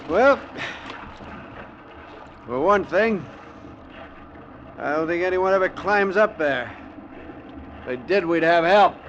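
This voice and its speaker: A man playing a worn-out, gloomy shipwreck survivor in a radio drama, with a low-key, wry delivery. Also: expressive, slow pace, long pauses, fairly bright tone, full character voice. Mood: grim. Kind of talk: 1940s-50s radio acting. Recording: muffled, noisy old radio recording. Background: water and rowboat sounds.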